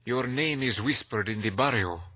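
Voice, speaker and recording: A man playing a knowing, courteous Cuban patriarch, speaking measured broken English in radio drama style. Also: mid-range voice, natural voice. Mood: calm, knowing. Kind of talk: 1950s radio drama acting.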